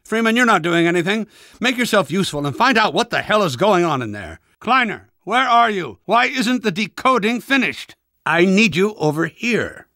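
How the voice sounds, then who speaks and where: A man's voice: high voice, sing-song, moderate pace, pushed and bright, dry recording, clean studio sound, exaggerated voice. A man playing a pompous, irritable older scientist in a video game, speaking with sarcastic, impatient, fussy enunciation. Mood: urgent, bossy. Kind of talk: video game character voice acting.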